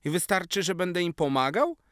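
A man voicing an eager seven-year-old boy in a children's story, full of exclamation and excitement. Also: high-pitched, brisk, clear, resonant tone, dry recording, studio quality, pitched above the performer's own voice. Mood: curious.

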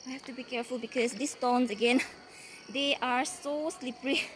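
A woman's voice: high-pitched, fairly even intonation, normal speed, noisy background. A young woman vlogging while she climbs a forest mountain trail, tired and sweating, chatting to the camera and cheering herself on. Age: young adult. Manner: chatty, breathless from climbing, self-encouraging. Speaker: travel vlogger. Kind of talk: hiking vlog narration. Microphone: handheld camera held close.

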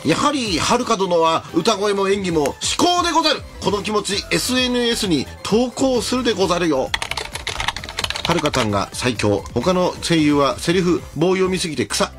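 Man putting on an excitable nerdy superfan, swooping pitch. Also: moderate pace, soft background music. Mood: smug devotion. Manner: theatrical, flustered stammering. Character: excitable nerdy male anime idol superfan, archaic samurai-style speech. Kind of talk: comic radio skit.

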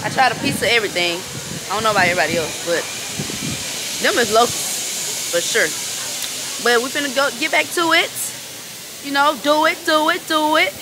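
A woman's voice: high-pitched, fairly quick, long pauses. A woman in her twenties chatting casually to a vlog camera in a playful, slangy way. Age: 20s. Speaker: travel vlogger. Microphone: handheld camera at arm's length. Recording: noisy handheld outdoor vlog audio.

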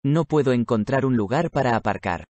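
A man reads learner sentences with a lively rise and fall in his voice. He sounds close to the mic, with a dry sound. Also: mid-range voice, fairly quick, no echo. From Spain. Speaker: language lesson speaker. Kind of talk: reading practice sentences aloud.

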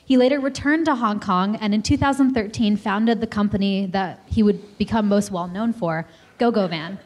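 A woman's voice: medium pitch, moderate pace, a little mellow. A woman in her twenties, a student, giving a formal and fluent guest introduction into a handheld mic at a lecture-hall podium. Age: 20s. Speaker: student event coordinator.

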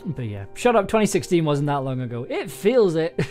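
A young man chatting casually on a livestream, rambling and hesitant, often breaking off and restarting his own sentences. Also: high voice. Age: young adult. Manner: rambling, hesitant, self-interrupting. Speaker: audio drama maker and livestream host. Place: small indoor home room.

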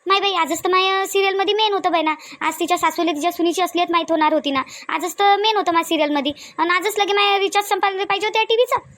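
A woman voicing chatty, bustling village women in a cartoon comedy, with a Varhadi Marathi accent and colloquial, animated chatter. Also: extremely high pitch, very fast. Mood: fretful. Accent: Varhadi Marathi from Vidarbha.

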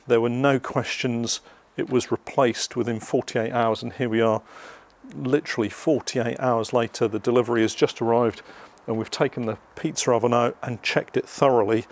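A British man hosting a casual unboxing vlog outdoors, explaining things to viewers in a chatty, hesitant way. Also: medium pitch. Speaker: vlog host.

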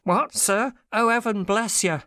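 A man's voice: high voice, unhurried, bright, forceful tone, dry recording, clean studio sound, heavily acted. An actor playing an anguished working man confessing to a theft in a dramatic audiobook, telling his story with self-pity. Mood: pleading gratitude.

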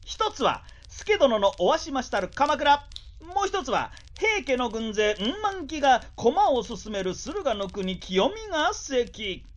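A woman acting a brash, talkative samurai scout in a radio drama, reeling off war news in rough, theatrical storytelling patter. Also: high-pitched, very animated, unhurried. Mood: brisk.

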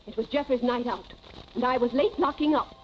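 An actress playing a stern elderly housekeeper in a 1940s film melodrama, righteous, suspicious and emphatically accusing. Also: female voice, on the high side, fairly quick, slight background noise, close to an everyday voice. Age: older. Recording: muffled 1940s film soundtrack, music behind.

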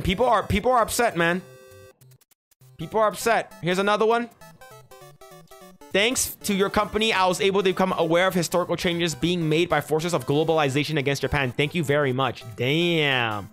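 A young man in a small room, reacting to social media posts on stream and reading them aloud in a flat, deliberate way, with casual swearing in between. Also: a little high, soft background music. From United States. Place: small room indoors at a desk. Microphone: headset.

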